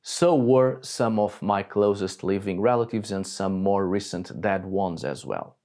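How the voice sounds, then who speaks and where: A man's voice: low-pitched, measured pace, darkish tone. A man from Portugal giving a blunt, scripted video monologue, swearing now and then. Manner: blunt, swears when annoyed. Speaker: video essayist.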